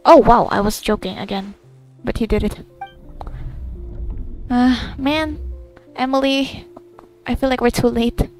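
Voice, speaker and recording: A young woman reacting casually to a video game, with murmured asides and expressive exclamations. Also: on the high side, a little slow, long pauses. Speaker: gaming video creator. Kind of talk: casual spoken reactions to gameplay. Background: faint game music.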